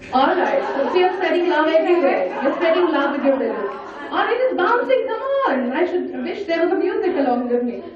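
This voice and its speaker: A woman giving an interactive storytelling talk, animated and coaxing as she puts questions and instructions to her listeners. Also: extremely high pitch, fairly quick, a crowd in the background. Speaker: storyteller and speaker leading an audience activity. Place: large indoor event hall. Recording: very echoey hall, distant mic, dull sound.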